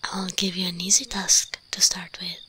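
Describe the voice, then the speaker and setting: A woman voicing an imperious, teasing vampire queen in a breathy ASMR roleplay whisper, commanding, condescending and seductive. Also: fairly low pitch, flat delivery, moderate pace, pushed and bright, slight background noise.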